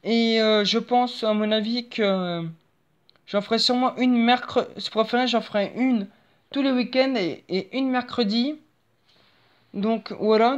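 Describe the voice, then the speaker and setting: A young woman hosting her own channel, chatting casually over a phone screen recording with lots of fillers and restarts. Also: on the high side. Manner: rambling, hesitant, many fillers and restarts. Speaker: channel host.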